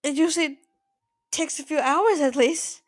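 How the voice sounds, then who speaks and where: A woman playing an older mafia boss in an ASMR roleplay, soft-spoken, possessive and quietly menacing, with a halting, intimate delivery. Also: high voice, no room sound, clean studio sound. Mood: tentative, hopeful. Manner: halting, stammering, intimate address to the listener.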